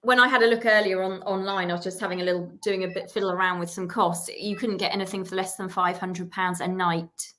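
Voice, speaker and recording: A woman's voice: on the low side, fairly even intonation, fairly quick, hardly any pauses, a bit bright. A woman hosting a casual video chat interview, encouraging her guest and asking questions. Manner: encouraging, asks questions, laughs readily. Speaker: host. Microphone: video call.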